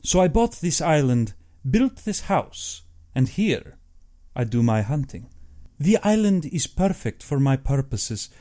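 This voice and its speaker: A man acts out an older aristocratic general in an audiobook, urbane and boastful. The voice is mellow and swings widely in pitch, with slow, precise, pompous phrasing. He is a cold-blooded hunter who savours his own importance. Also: very animated. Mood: smug, relishing. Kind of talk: audiobook character acting.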